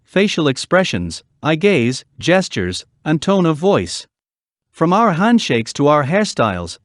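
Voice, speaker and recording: A man reading a scripted practice passage aloud in a measured, clearly enunciated way. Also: fairly high pitch, no room sound, clean studio sound. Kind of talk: scripted read-aloud narration for exam practice.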